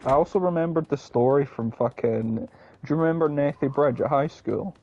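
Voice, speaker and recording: Creaky-voiced young Scottish man gaming, noisy audio. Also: fairly high pitch, brisk, dark tone, dull audio. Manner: sweary, rambling anecdotes. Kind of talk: casual chat and storytelling while gaming.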